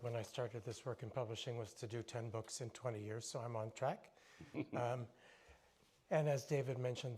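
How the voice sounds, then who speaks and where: A man's voice: medium pitch, a little mellow. Man, mid-range voice, moderator, conversational, asks questions, light fillers. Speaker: moderator and author. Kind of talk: panel discussion.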